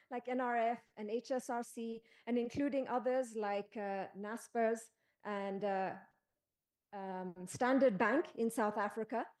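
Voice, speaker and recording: A woman from Kenya with a Kenyan accent, speaking as a panelist and advisor in a formal, measured, emphatic speech. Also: fairly high pitch, darkish tone.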